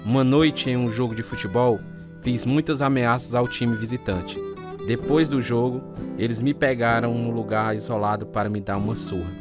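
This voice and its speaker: A man's voice: mid-range voice, steady tone, normal speed, close to an everyday voice. An actor with a Brazilian accent playing a reflective man who narrates his troubled past in a radio drama. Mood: reflective, sober. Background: guitar music.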